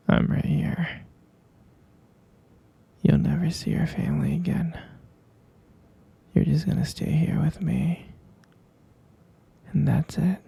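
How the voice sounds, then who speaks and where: A man performing a boyfriend ASMR roleplay as an obsessive, possessive yandere captor, cooing endearments with soft menace in a breathy, husky whisper with gravelly creak. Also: a little high, exaggerated voice.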